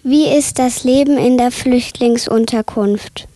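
A woman's voice: fairly high pitch, a little slow, mellow, dry recording. A woman interviewer asking brief, polite, formal questions.

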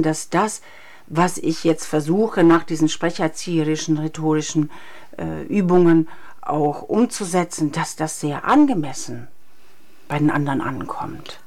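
Deep-voiced woman speaking deliberately. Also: darkish tone. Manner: thoughtful, deliberate, lists points slowly. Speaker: speech trainer, co-author. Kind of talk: radio interview guest.

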